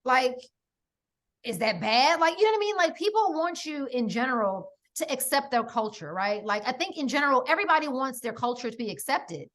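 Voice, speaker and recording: A high-voiced American woman in middle age, talking as a podcast guest. She tells stories in an emphatic, animated way, leaning on "like" and "right?" and pressing her points hard. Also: fairly quick. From United States. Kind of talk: casual conversation.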